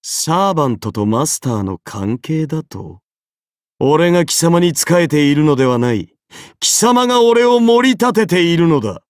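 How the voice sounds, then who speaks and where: A man's voice: a little high, big pitch swings, normal speed, no echo, clean studio sound. An actor playing a haughty, easily bored golden-armored king in an anime game, pompous, imperious and condescending. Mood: arrogant, condescending. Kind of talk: dramatic anime game character voice acting.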